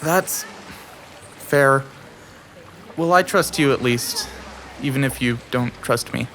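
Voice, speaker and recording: A man's voice: medium pitch, slow, a bit bright, a little hiss or hum. An actor playing an anxious, earnest young male student in an audio drama, talking hesitantly with stammers. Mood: nervous, hesitant. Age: young adult. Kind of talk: audio drama narration and dialogue. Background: music.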